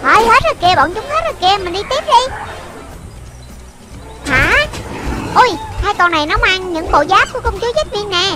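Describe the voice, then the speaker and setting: A childlike voice giving excited running commentary on a video game for children, full of exclamations and repeated short phrases, with loud music behind it. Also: extremely high pitch, brisk, pauses often.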